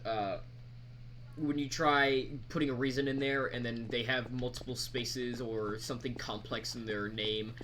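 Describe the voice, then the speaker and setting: A man giving a casual screen-recorded software tutorial, talking in a halting, rambling, informal way. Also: lowish voice, low-quality recording. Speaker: mod creator presenting tutorial. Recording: background noise, keyboard typing.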